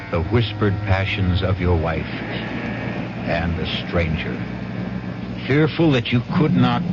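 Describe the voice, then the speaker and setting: An actor performing as a grave, ominous storyteller, narrating a radio mystery in a measured, theatrical way. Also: male voice, fairly low pitch. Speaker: host and narrator. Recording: narrow, tinny radio recording, heavy noise.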